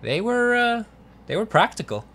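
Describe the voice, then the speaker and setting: A man's voice: sits high, sing-song, normal speed, bright, forceful tone. A man co-hosting a review show, talking in an informal, enthusiastic, conversational way. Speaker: film review show co-host. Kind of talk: casual movie review chat.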